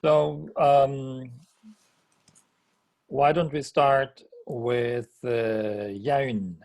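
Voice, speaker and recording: A man calmly introducing students one by one in an online seminar. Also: mid-range voice, very slow, gravelly, dull audio. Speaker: professor hosting seminar. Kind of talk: moderating introductions. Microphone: video call.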